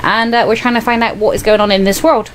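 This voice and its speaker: A woman's voice: a little high, fairly even intonation, quick pace, slight background noise. A young adult woman hosting a gaming video, with casual commentary and reading aloud.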